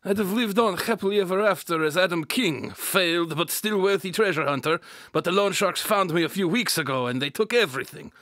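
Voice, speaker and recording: A man plays a wounded, bitter old treasure hunter caught stealing in a radio drama. His voice is high and forceful, with a self-pitying whine, recorded very dry. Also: brisk, dry recording. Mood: bitter, resigned. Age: older. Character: older failed treasure hunter turned thief, wounded, bitter, whining.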